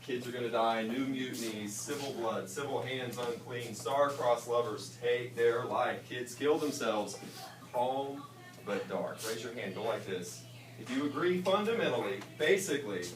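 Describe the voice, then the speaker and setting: A male English teacher leading a class discussion in a brisk, questioning way. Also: medium pitch, background noise. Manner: brisk, questioning, plays off students. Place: school classroom. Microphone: camera across the room. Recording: roomy classroom from far off.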